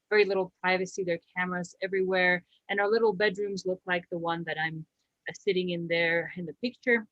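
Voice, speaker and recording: A woman, presenting slides about her research in a brisk, explanatory way with a light joke here and there. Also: medium pitch, a bit bright, dry recording, lo-fi sound. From Slovakia. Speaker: astrobiologist and habitat director.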